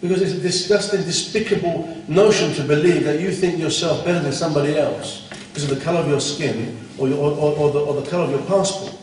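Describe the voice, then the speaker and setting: A man's voice: on the high side, fairly even intonation, quick pace, darkish tone, far from the mic, a little hiss or hum. Scolding man in an echoey hall. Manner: blunt, scolding, rhetorical. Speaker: conference speaker. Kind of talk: Islamic talk. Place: conference hall.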